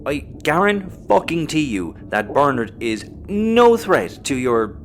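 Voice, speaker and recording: Animated, scheming Irish space rogue. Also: male voice, a little high, a little slow, a little hiss or hum. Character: scheming Irish spacer, sarcastic and protective brother. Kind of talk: sci-fi audio comedy acting.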